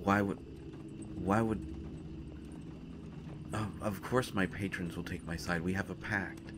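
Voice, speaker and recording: A man playing a brooding young prince in a fantasy audio drama, speaking to the listener in a halting, earnest, dramatic way. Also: deep voice, steady tone, noisy background. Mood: alarmed, resolute. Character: brooding young male prince at war with his father. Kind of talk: fantasy roleplay audio acting addressed to the listener. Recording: music behind the voice.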